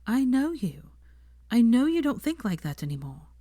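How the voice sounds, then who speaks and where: A woman voicing a caring young woman, speaking in a raised, earnest, gentle way. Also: fairly high pitch, big pitch swings, moderate pace, no room sound, studio quality, pitched above the performer's own voice. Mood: concerned.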